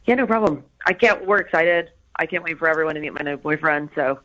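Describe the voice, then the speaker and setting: Bright-voiced, easygoing woman on a call. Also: deep voice, quick pace, muffled recording. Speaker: person answering a phone call. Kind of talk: casual phone chat.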